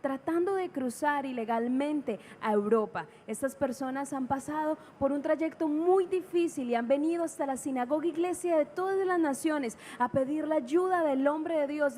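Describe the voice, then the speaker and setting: A woman interpreting a church broadcast live in a clear, formal announcing manner. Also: high voice, brisk, hardly any pauses, darkish tone. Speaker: Spanish interpreter.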